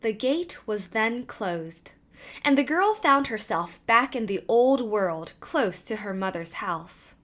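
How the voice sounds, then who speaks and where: Woman storyteller with lively, animated pitch. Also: takes it slowly. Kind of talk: scripted fairy-tale narration.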